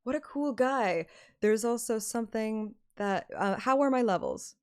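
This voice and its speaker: Slow-paced woman streamer chatting casually. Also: fairly high pitch, expressive, darkish tone, clean tone. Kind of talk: casual chat during a game stream.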